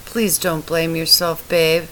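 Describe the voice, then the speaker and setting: A woman voicing a weak, dying husband who speaks softly and tenderly, his voice fading. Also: deep voice, little pitch movement, slow pace, a little hiss or hum. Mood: pleading. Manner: soft, faltering, fading. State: weak and tired.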